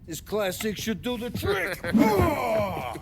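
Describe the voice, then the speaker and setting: Heavy noise surrounds a high-voiced American gangland arms dealer. He is jovial and slangy, stretching words very slowly in a theatrical game-cutscene style. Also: wildly swooping pitch, heavily acted. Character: jovial, slangy male underworld weapons dealer. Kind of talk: cinematic video game drama acting.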